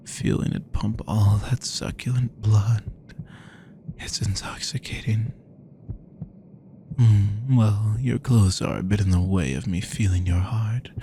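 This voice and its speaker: A man voicing a possessive, powerful male vampire, speaking slowly with a gravelly voice and frequent whispers, menacing and obsessive, close to the mic. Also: fairly low pitch, darkish tone, slight background noise. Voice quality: gravelly, slow, often whispering. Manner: menacing, possessive, drawn-out delivery. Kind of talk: roleplay audio drama.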